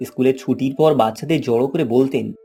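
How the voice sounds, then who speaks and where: Guy narrating a kids fairy tale, mellow dark-ish voice, bit of music behind. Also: mid-range voice, brisk, breathy edge. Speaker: storyteller, narrator.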